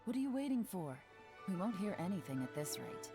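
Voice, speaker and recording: A woman's voice: mid-range voice, big pitch swings, a little fast, music in the background. An actress playing a composed, secretive female investigator giving orders in a video game. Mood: cool, directing.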